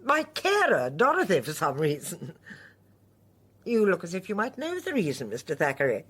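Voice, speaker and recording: Husky, genteel old lady. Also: on the low side, huge pitch swings, normal speed, a bit bright, exaggerated voice. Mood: curious, probing. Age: older. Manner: genteel, well-mannered, probing. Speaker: actor in radio drama. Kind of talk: radio drama acting.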